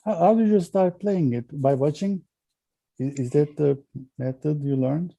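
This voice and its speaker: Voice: mid-range voice, measured pace, gravelly, dark tone, dry recording. An interviewer asking brief, encouraging questions.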